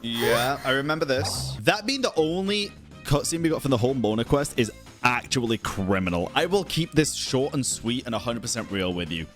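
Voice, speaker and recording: A man's voice: medium pitch, expressive, normal speed, music in the background. A young man doing casual ranking commentary in a slangy, blunt, emphatic way. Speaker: gaming content creator and streamer.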